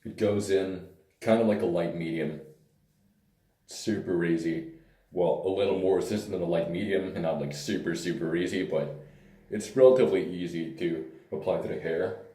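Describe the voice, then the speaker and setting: A man with a hoarse, tired voice talks through a hair product review in a loose, rambling way. His voice sits very low and sounds dark and mellow. He is recorded from some distance in an echoey room. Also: moderate pace. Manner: relaxed, rambling, hesitant fillers. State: hoarse, lost voice. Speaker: pomade reviewer.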